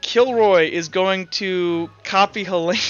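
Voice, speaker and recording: A man running a tabletop roleplaying game, improvising his narration in a halting way and repeating words as he thinks aloud. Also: high-pitched, clean tone, highs cut off, faint music behind. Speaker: game master.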